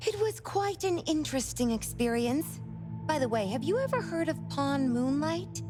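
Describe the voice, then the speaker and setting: A reclusive woman manga artist from a video game. She talks in a dramatic, exclamatory way, excitable and quick to mock herself. Music plays clearly behind her. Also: sits high. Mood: animated storytelling.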